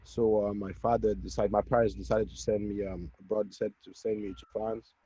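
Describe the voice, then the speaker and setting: A low-voiced man from Cameroon recounts his own life in a halting, self-correcting way. His voice is dark and husky, heard over dry, dull webinar audio. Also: flat delivery. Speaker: interview guest. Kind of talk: autobiographical talk in a webinar interview.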